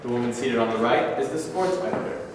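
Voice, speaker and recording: A man's voice: medium pitch, animated, brisk. Echoey, distant young man reading stage directions. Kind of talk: scripted narration at a staged reading. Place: mid-sized rehearsal studio, far camera. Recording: echoey hall, far from mic, faint noise.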